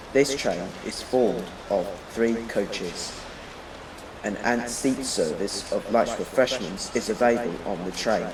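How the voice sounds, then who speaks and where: Slow, echoey male train announcer. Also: fairly low pitch. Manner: measured, list-like phrasing. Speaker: railway station announcer. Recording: echoey, noisy, distant station PA sound.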